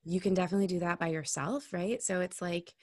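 A woman's voice: lowish voice, quick pace, dry recording, studio quality. A woman speaking as an intimacy coach guest in a casual podcast conversation, candid and reflective, swearing now and then.

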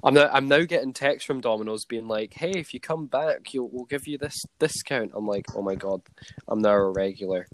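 A man's voice: mid-range voice, brisk, a little hiss or hum, rough recording. A man hosting a casual podcast chat with friends, rambling and laughing often. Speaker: podcast host.

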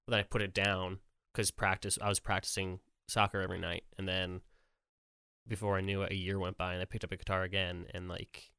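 Young man, relaxed joking talk, lower-pitched voice, dry close mic. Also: smooth, no room sound. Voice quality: relatively high voice for a man. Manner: relaxed, joking, quick to laugh. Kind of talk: casual podcast chat.

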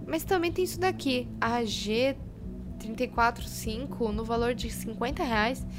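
A woman's voice: fairly high pitch, measured pace, natural voice. An actress playing a frazzled, impulsive young woman fretting over money, with a Brazilian accent, in an audio drama. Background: music behind the voice.

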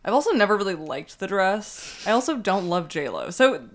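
A young woman with a deep voice, co-hosting a podcast and telling rambling stories full of filler words. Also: sing-song, moderate pace. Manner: rambling anecdotes, many "like" fillers. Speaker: podcast co-host. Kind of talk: casual podcast chat.

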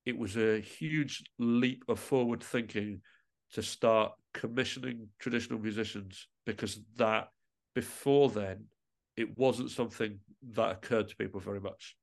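A man's voice: on the low side, fairly even intonation, unhurried, gravelly. A man speaking as a musician guest in a casual podcast interview, with an informal, self-deprecating and enthusiastic way of talking.

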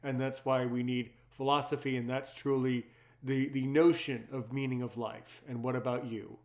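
Brief: man in his 30s, native French speaker, deep earnest voice, reflective casual chat. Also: flat delivery, normal speed. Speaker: conversation partner.

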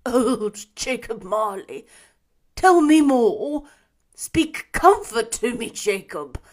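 A woman voicing a frightened old miser who pleads in hushed, half-whispered words in a storybook reading. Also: fairly high pitch, very animated, takes it slowly, husky, full character voice. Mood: fearful pleading.

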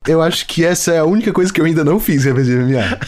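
A Brazilian man with a slightly high voice chats casually on a podcast. He jokes and rambles in a loose, friendly back-and-forth. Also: rapid-fire. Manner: joking, chatty, rambling. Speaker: podcast co-host. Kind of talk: casual chat.

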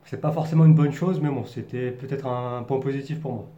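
A man's voice: mid-range voice, fairly even intonation, brisk, warm tone, muffled recording. A young man from the Paris region telling a personal story to camera in an earnest, reflective way, searching for his words. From France, Paris region. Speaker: vlogger. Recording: echoey room.

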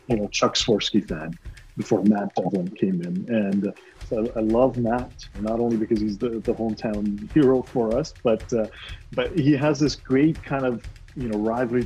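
A middle-aged man from Toronto talks in a lively, easygoing way about calling games. His pitch sits in an ordinary middle range, and he laughs a lot between thoughts. Faint music plays behind him. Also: low-quality recording. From Toronto, Canada. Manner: animated, laughs often, switches into Punjabi. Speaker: sports broadcaster guest. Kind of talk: casual video call interview.

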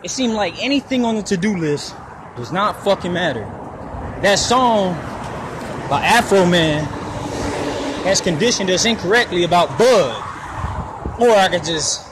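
A man's voice: high-pitched, expressive, a little slow, pauses often. A man in his twenties talking casually for his podcast while walking outdoors by a road, rambling and swearing freely. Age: 20s. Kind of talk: casual personal monologue. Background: passing traffic.

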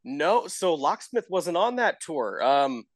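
A man's voice: on the high side, sing-song, slow pace, no room sound, clean studio sound. A man hosting a casual interview, informal and encouraging as he talks. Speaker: show host, interviewer.

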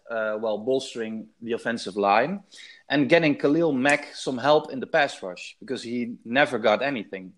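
A Dutch man talks as a guest in a relaxed interview, his Dutch accent clear in his English. He hesitates a lot and restarts his sentences. His voice sits at an ordinary middle pitch. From Netherlands. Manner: hesitant, many restarts and repeated words. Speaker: guest, sports fan. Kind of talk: casual interview.

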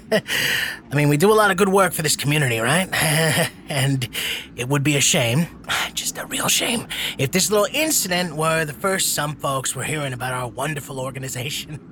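A brash personal trainer turned slick spokesman in an audio drama. His voice is fairly high and swings around a lot as he delivers glib, over-friendly patter. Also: expressive, moderate pace, sharp tone, slight background noise. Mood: slick, wheedling.

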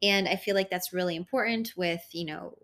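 A woman with a somewhat low voice talks through an unscripted solo podcast. Hungarian is her first language, and she rambles in an easy, spiritual way, full of fillers and asides. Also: fairly quick, a bit bright. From born in Italy, raised in the United States. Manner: rambling, spiritual, self-aware with laughter. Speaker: podcast host.

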